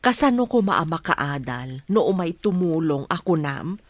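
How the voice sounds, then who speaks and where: A woman's voice: deep voice, sing-song, a little slow, no room sound, exaggerated voice. A woman with an Ilocano accent playing a fair-minded, reasoning village woman in a radio drama. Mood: protesting.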